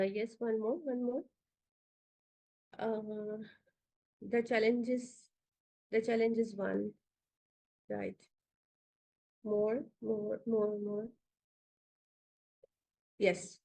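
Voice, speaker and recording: Woman with a Pakistani accent, fairly high. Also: a little mellow. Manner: hesitant, many fillers, directs slide changes. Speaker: institute founder giving orientation. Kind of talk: online orientation talk.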